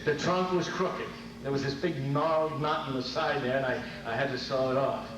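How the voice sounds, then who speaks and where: A man's voice: mid-range voice, fairly even intonation, normal speed, natural voice. A man playing a grumbling, put-upon husband who protests, in old-time radio comedy style on stage. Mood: weary, complaining. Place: convention stage before an audience. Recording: echoey noisy hall, distant microphone.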